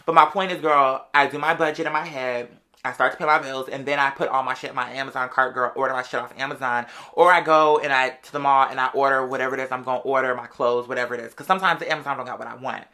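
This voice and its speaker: A bright, forceful American voice of someone in their late twenties, pitched in an in-between register. The delivery is casual and gossipy, full of slang and sassy interjections, like confiding in a close friend. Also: steady tone, quick pace. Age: late 20s. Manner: conversational and sassy, heavy slang, often interjecting "girl".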